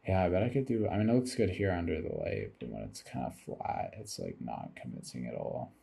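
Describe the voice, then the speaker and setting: Tired, low-voiced man with gravelly fry. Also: wildly swooping pitch, fairly quick, warm tone. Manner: musing aloud, thinking as he goes, casual swearing. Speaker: video creator commenting over game footage. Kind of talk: rambling casual commentary.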